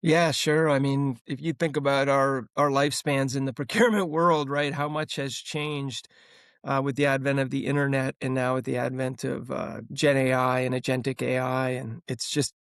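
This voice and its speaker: A man's voice: mid-range voice, little pitch movement, moderate pace, clean tone, no echo, studio quality. A man hosting a business podcast, introducing his guest and asking questions in a friendly, loosely rambling way. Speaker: podcast host. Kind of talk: interview hosting.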